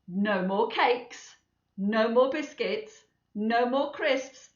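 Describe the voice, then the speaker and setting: A woman's voice: on the high side, drawn-out words. A woman voicing a stern, emphatic mother elephant from a children's storybook, exasperated but affectionate. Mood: stern, bossy. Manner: emphatic, declarative, bossy. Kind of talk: children's storybook read-aloud. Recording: echoey room, slightly dull sound.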